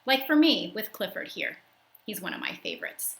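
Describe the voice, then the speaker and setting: A woman's voice: medium pitch, animated, normal speed, fairly bright tone. Woman reading a storybook to children. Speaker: school librarian reading aloud. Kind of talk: children's storybook read-aloud.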